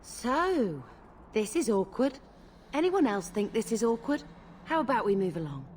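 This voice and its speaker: A woman's voice: fairly high pitch, sing-song, unhurried, darkish tone, slight background noise. A woman voicing a wry, breezy adventuring companion in a video game, with a chatty way of talking. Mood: awkward, wry.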